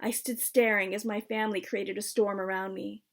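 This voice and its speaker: Female storybook reader, husky and creaky, expressive delivery with soft phrase endings. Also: mid-range voice, moderate pace. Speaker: author reading own book. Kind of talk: storybook read-aloud.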